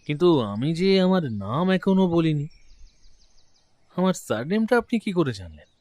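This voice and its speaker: Man playing an affable, chatty gentleman, dry sound. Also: fairly high pitch, big pitch swings, a little slow, dry recording. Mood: puzzled. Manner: genial, talkative, open-hearted. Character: affable, chatty gentleman client, proud father. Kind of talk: dramatized detective audio story.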